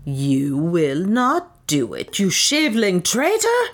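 A woman's voice: low voice, sing-song, a little slow, heavily acted. A woman voicing a brutal, greedy male slave-trader boss in an audiobook, mocking, blustering and commanding. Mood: threatening rage. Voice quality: hoarse when enraged.

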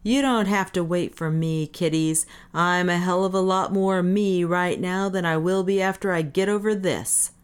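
A gruff, defiant drunkard, played by a woman reading an audiobook. He talks in brash slang with sardonic bravado, in a fairly low register. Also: fairly even intonation, normal speed, few pauses, natural voice. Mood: drunken bravado. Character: gruff, drunken, defiant man taunting his doom. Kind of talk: dramatic audiobook character reading.

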